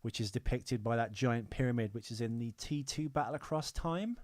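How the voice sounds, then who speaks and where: A man's voice: mid-range voice, a little fast, dry recording. A British man co-hosting a podcast in casual film-review chat, rambling, opinionated and prone to swearing. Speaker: podcast co-host.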